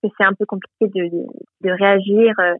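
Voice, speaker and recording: A woman's voice: medium pitch, steady tone, brisk, husky, dry recording. Teen girl from southern france, chatty but stumbling, tinny phone sound. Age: teens. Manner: cheerful, hesitant, many fillers and restarts. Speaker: guest. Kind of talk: radio interview.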